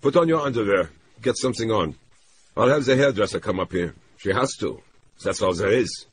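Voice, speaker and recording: A man's voice: fairly low pitch, lively intonation, a little fast, slight background noise, pitched above the performer's own voice, close to an everyday voice. A man voicing an agitated, exasperated man with urgent, clipped delivery, in a dramatic audiobook reading. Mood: firm, urgent. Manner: urgent, insistent, short clipped sentences. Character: agitated, exasperated man tending a disturbed woman.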